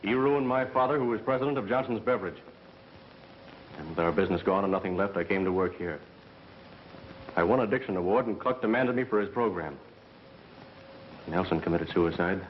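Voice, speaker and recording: Man acting haunted young killer, American accent, low pitch, bitter, melodramatic, hissy vintage film sound. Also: fairly quick, pauses often, highs cut off, close to an everyday voice. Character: bitter, haunted young male murderer confessing.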